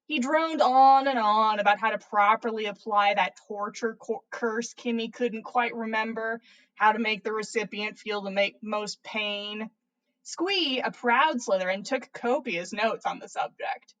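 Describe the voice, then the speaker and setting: A woman host reading comedic fan fiction aloud in an amused, theatrical and expressive way. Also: a little high, a little slow, low-quality recording. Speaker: podcast host reading listener stories.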